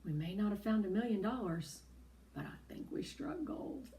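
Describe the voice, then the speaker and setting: Woman acting an excitable, bold young storybook child. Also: medium pitch, moderate pace, lightly acted. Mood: excited. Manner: exclamatory, dramatic.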